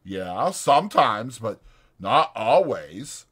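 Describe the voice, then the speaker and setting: A man voicing a big, slow-witted, trusting and anxious ranch hand in a dramatized audiobook, talking loudly, simply and with stubborn earnestness. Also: lowish voice, sing-song, slightly rough, full character voice. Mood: earnest.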